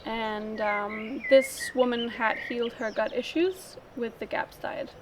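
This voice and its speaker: Halting woman from Denmark, outdoors. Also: fairly high pitch, fairly even intonation, noisy background. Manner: halting, thinks aloud. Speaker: interviewee. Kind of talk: casual personal story.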